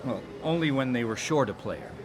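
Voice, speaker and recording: A man's voice: mid-range voice, animated, brisk, crowd noise, close to an everyday voice. A man playing a pious, modest small-town man on a date in a live radio drama, polite and hesitant. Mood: friendly, surprised.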